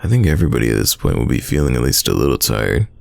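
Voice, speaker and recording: A man acting a teasing, stubborn young friend in an intimate roleplay audio drama, with a very deep, gravelly voice and a casual delivery full of drawn-out sighs. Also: big pitch swings, darkish tone, no room sound, heavily acted. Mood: tired, casual.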